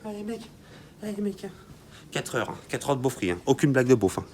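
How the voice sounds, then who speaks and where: A young man vlogging from a moving car with a broken window, trading loud, laughing banter and complaining that he is worn out from the long drive. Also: medium pitch, very animated, brisk. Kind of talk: joking road-trip banter. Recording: loud road and wind noise.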